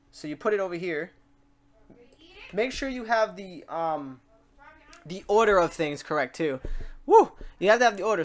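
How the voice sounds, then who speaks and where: A tutorial host of unclear sex talking casually through software steps and often thinking aloud. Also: mid-range voice. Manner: informal, talks self through steps.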